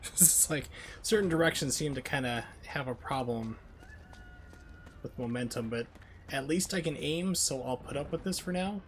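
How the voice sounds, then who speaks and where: A man's voice: mid-range voice, normal speed, a little hiss or hum. A man streaming a video game with casual, chatty live commentary, explaining mechanics in asides.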